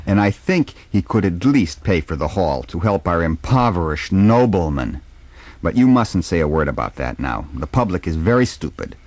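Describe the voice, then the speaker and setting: Man acting out gossipy aristocrat, low-pitched, emphatic, scornful, dry close sound, dramatic reading. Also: sing-song, normal speed, darkish tone, dry recording, exaggerated voice. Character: gossipy, disdainful male Russian concertgoer of high society. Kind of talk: informal dramatic reading of a dialogue.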